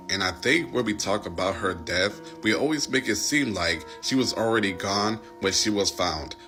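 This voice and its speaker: A man's voice: lowish voice, fairly bright tone. A man giving informal storytelling commentary in a conversational, plain-spoken way.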